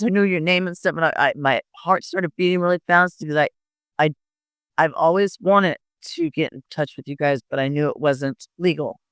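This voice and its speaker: A woman's voice: low-pitched, a bit bright, clean tone, dry recording, studio quality. A woman in her fifties giving personal recollections as an interviewee, hesitant and often repeating her words.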